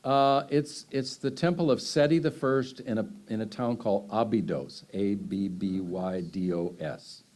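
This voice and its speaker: An older man with a fairly low voice answers questions from a live audience. He talks loosely and conversationally, circling back with fillers and restarts. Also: unhurried, few pauses, a little mellow. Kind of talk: unscripted Q&A answers.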